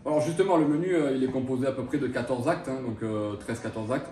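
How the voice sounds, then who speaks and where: A man's voice: medium pitch, fast talker, warm tone, a little hiss or hum. A man in his thirties, a chef, answering interview questions with lots of hesitation and restarts. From France. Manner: hesitant, many fillers and restarts. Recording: roomy echo, mic at a distance.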